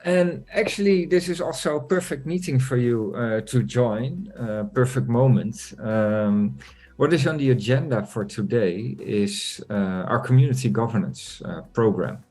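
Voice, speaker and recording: A man hosting an online community meeting, talking in a relaxed, chatty way with many fillers. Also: medium pitch, slightly rough. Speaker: meeting host.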